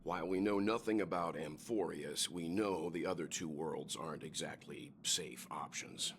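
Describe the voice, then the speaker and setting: A man voicing a seasoned, adventurous gentleman explorer in a game, speaking in a measured, avuncular way. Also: low-pitched, background noise. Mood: resolved. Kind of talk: game voice acting.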